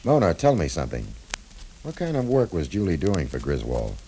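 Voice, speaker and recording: An actor playing a tough, shrewd cafe owner turned detective in a 1950s radio drama, terse and hard-boiled. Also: male voice, low-pitched, wildly swooping pitch, normal speed, mellow, slight background noise, heavily acted, low-quality recording. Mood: cool, probing.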